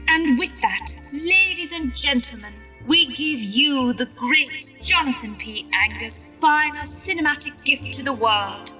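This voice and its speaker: A woman's voice: high-pitched, animated, measured pace, few pauses, sharp tone, exaggerated voice. A woman playing a grand, reverent actress making a formal stage introduction in a vintage radio drama. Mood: grand, wistful. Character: grand, reverent actress honoring late director. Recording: distant voice, music behind.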